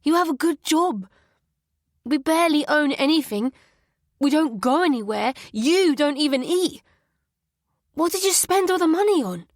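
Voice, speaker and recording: A woman voicing a frightened, injured teenage boy who talks in a hoarse, halting way. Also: high voice, animated, dry recording, studio quality, voice pushed up. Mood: upset. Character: hurt, frightened teenage boy, weak and shaken.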